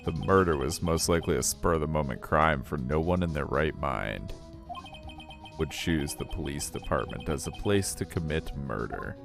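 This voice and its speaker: A man voicing an earnest young male defense lawyer in a fan dub of a game, speaking in a firm, deliberate courtroom manner. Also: extremely low pitch, gravelly, music in the background. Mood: accusing.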